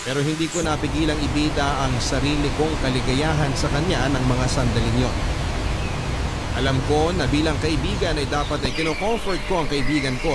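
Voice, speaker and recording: Male radio drama narrator over loud music. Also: medium pitch. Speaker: narrator reading a listener's letter. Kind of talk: scripted first-person radio drama narration.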